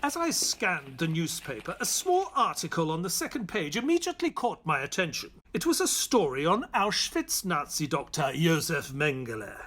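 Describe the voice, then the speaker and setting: An actor playing a loyal, grieving gentleman who narrates a detective audio drama in a measured, reflective manner. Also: on the high side, wildly swooping pitch, heavily acted.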